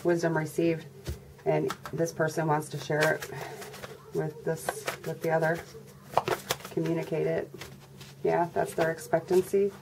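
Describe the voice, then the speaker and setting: Woman, tarot reader, deep pitch, congested, monotone, casual, noisy recording with birds behind. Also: a little slow, creaky, pauses often. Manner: monotone, low-energy delivery. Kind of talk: casual tarot reading for viewers. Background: birds and background noise.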